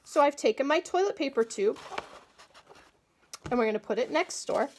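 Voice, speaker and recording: A woman's voice: high-pitched, lively intonation, moderate pace, a little hiss or hum. Woman patiently demonstrating a table-top craft. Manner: clear, patient instructional delivery. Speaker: agriculture educator. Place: small indoor room, table-top demo.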